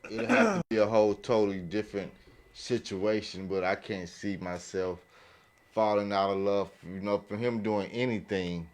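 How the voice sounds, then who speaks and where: A man on a podcast panel chatting casually in slangy, halting phrases. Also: fairly low pitch, lively intonation. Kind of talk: casual chat.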